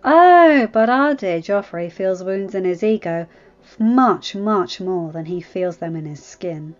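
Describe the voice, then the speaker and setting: A woman's voice: medium pitch, lively intonation, measured pace, dull audio, close to an everyday voice. A witty, mocking nobleman in a fantasy storybook, played by a woman reader. Words are drawn out with dripping sarcasm, over faint music.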